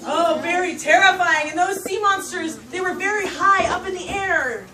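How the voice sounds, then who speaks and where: High voiced lady doing a kids puppet story, real dramatic, recorded from far off in an echoey room. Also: a crowd in the background. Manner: dramatic, theatrical, drawn-out emphasis. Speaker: storyteller leading a puppet show for young children. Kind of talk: live children's storytelling with puppets. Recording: echoey room, distant mic, children around.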